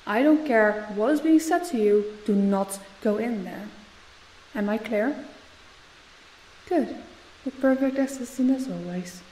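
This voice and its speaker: A stern female company boss, acted by a woman in an audio drama. Her voice is mellow and mid-pitched, and she hands out orders with calm authority. Faint hiss sits behind her. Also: measured pace, pauses often, lightly acted, poor audio. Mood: firm. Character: stern, commanding female company boss, composed under stress. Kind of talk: audio roleplay acting.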